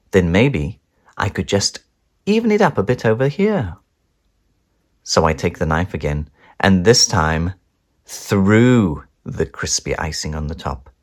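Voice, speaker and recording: A man reads a children's story aloud with theatrical flair. His pitch swings widely, yet he takes his time over each phrase. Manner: theatrical, with whispers and chanted sound words. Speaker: storybook reader.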